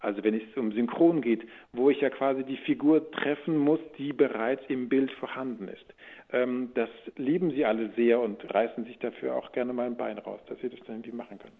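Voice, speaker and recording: A man with a mid-pitched, rough-edged voice answers interview questions casually. He often circles back to rephrase. The sound is thin, as if over a telephone. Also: steady tone, brisk. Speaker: audio drama producer and voice-over speaker. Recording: thin phone-like line.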